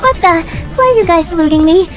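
Woman voicing an anxious, squeaky-high little jester girl. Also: expressive, fast talker. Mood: exasperated. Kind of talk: cartoon comic fan dub. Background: music.

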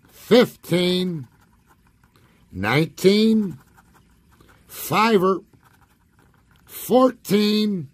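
A man's voice: high voice, husky, dry recording. A man with a scratchy voice doing profane, wry commentary while scratching lottery tickets at a table indoors. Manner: profane, wry, reads numbers slowly with nicknames.

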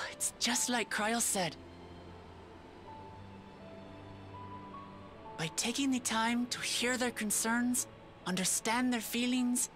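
A woman's voice: fairly high pitch, fairly bright tone. An actress playing a young, idealistic lion-woman warrior princess in a video game, speaking earnestly and from the heart. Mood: hopeful, resolute. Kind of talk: voiced game cutscene dialogue.

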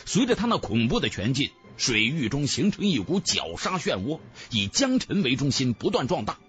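A man's voice: medium pitch, very animated, normal speed, fairly bright tone, faint music behind. A man narrating a fantasy audiobook in a dramatic, theatrical scripted style.

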